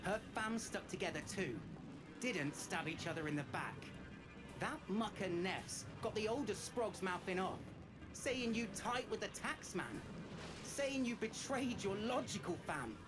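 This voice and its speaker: A woman's voice: mid-range voice, expressive, a little slow, close to an everyday voice, poor audio. An actress playing a scrappy, defiant and loyal young street girl in a video game, talking in punchy, emotive slang. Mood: earnest, hurt. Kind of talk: video game character acting. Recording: music under the voice.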